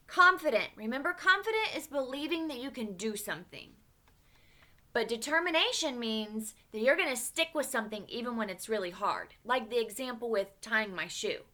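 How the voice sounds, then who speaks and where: A young woman at home teaching children new words and reading them a storybook aloud, with lively expression and soft whispered asides. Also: on the high side. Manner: animated, drops to whispers for asides. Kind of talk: storybook read-aloud with vocabulary lesson.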